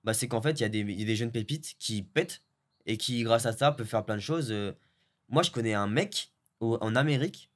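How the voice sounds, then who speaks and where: A young man from French Guiana, a video editor, chatting in a relaxed way with lots of fillers and restarts. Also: medium pitch, a little fast. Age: young adult. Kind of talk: casual interview chat.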